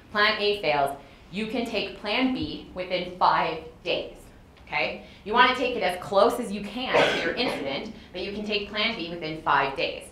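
A woman's voice: on the low side, fairly bright tone. A woman in her thirties speaking to an audience in a classroom, conversational and often checking in with "okay?". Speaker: clinic speaker on a panel. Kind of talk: informal talk to an audience. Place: classroom, indoors. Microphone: far room camera. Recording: echoey classroom, faint hiss.

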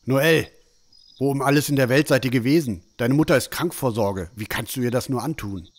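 A man's voice: medium pitch, a little fast, lightly acted. A man playing a strict, angry and worried village father, scolding in a fantasy audio drama. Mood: angry, reproachful. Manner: scolding, indignant.

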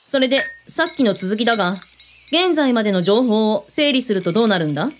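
An actress playing a brusque commanding boss of a covert team in an audio drama, speaking in casual, blunt masculine phrasing. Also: female voice, fairly high pitch, animated, a little fast, a little hiss or hum, lightly acted. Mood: dry, probing.

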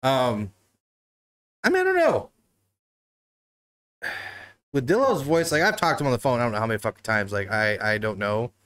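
A man's voice: mid-range voice, sing-song, moderate pace, long pauses, a bit bright, smooth. A man in his thirties hosting a casual livestream talk show from a living room couch, laughing often and bantering loosely with swearing.

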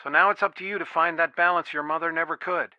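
A man's voice: on the high side, fairly even intonation, fast talker, pushed and bright, no room sound. An actor playing a worried, protective middle-aged father in a video game, earnest and pleading, heard through a telephone filter. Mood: wistful, tender. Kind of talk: naturalistic video game dialogue, one side of a phone call. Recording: dry, band-limited phone-like sound.

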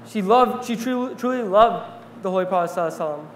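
A young man giving an earnest talk on stage in a large hall, telling a story from his notes and pausing with fillers, then interviewing a guest. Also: high-pitched, animated, slight background noise. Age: young adult. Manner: earnest, reflective, pauses with fillers. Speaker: speaker and interviewer. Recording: roomy hall reverb, faint ambience.

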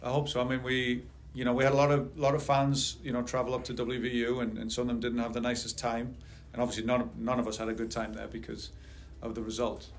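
A middle-aged man speaking as a head soccer coach at a post-game press conference, measured and steady. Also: mid-range voice, slight background noise. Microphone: table mic on a stand.

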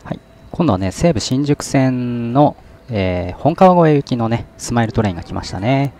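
A man's voice: mid-range voice, normal speed. A man filming trains from an outdoor station deck, chatting casually and hesitantly as he points out each train. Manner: relaxed, chatty, frequent "ne" fillers and hesitations. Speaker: vlogger. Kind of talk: casual train-spotting commentary. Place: outdoor station deck overlooking rail yard.

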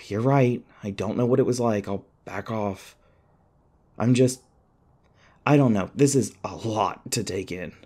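A man playing a shaken, apologetic young human in an audio roleplay, speaking hesitantly with stammers and halting phrases. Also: on the low side, dark tone, breathy edge. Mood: flustered. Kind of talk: ASMR audio roleplay, naturalistic acting.

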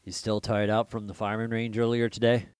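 A man's voice: fairly low pitch, flat delivery, a little fast, darkish tone, clean tone, no room sound, close to an everyday voice. Smirking teen troublemaker played by a man, blunt and scoffing, audiobook drama, very dry sound. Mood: smug. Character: smirking, crude teenage troublemaker high school boy. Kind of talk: audiobook character acting.

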